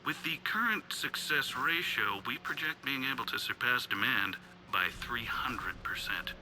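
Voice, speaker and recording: An actor playing a cold scientist villain in a video game, reporting in a formal, detached, clinical manner. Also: male voice, mid-range voice, animated, measured pace, sharp tone, faint music behind, poor audio. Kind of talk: video game cutscene acting.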